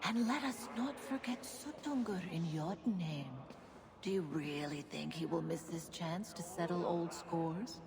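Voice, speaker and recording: A slow, portentous Norse messenger. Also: female voice, fairly low pitch, long reverb tail, crowd noise. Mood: ominous, taunting. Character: proud, ominous Norse woman messenger, sly and sardonic. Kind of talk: dramatic cinematic video game acting.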